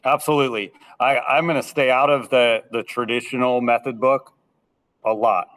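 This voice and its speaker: A man's voice: medium pitch, steady tone, normal speed, a bit bright. A man in his thirties, a band teacher, talks through his methods in a casual podcast chat. His voice is slightly nasal, and he often stumbles and repeats words as he gets going. He sits a little away from the microphone, so he sounds somewhat distant. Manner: hesitant, repeats words when starting sentences. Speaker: school band director.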